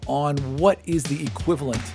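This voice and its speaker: A man's voice: fairly high pitch, normal speed. A man working as game master, narrating a tabletop roleplaying game in a conversational, casual way. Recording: music behind the voice. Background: music.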